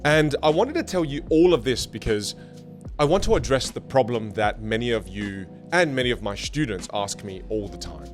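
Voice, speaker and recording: A male communication teacher whose first language is Teochew gives an online English lesson. His voice swoops up and down as he jokes and explains. His pitch sits in a middle range, with faint music playing underneath. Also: normal speed. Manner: animated, jokey, lively delivery.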